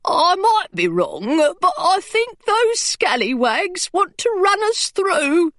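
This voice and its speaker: A jittery little stone gargoyle, as a woman puts him on, higher than her usual voice. He speaks slowly and hesitantly, with a lively lilt, on a dry close recording. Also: no echo, full character voice. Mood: nervous. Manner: hesitant, timid. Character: nervous small male stone gargoyle.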